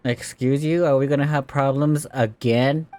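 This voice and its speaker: A woman comments casually on a game. The voice is extremely low for a woman. The delivery is made of short, sassy, dry asides, with game music faintly behind. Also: steady tone, normal speed, a little mellow. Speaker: gaming video host. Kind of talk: casual let's play commentary.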